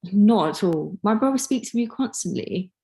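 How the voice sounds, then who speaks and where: A woman playing a friendly, admiring younger sister of a gentleman in a period drama reading, eager and gracious. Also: medium pitch, animated, normal speed, close to an everyday voice. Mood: eager warmth.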